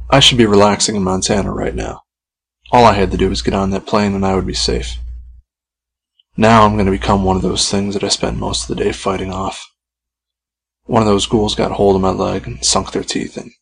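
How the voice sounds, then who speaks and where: A man's voice: fairly low pitch, flat delivery, moderate pace, gravelly, long pauses, breathy edge, poor audio. A man reading a scripted first-person horror story as its author and narrator.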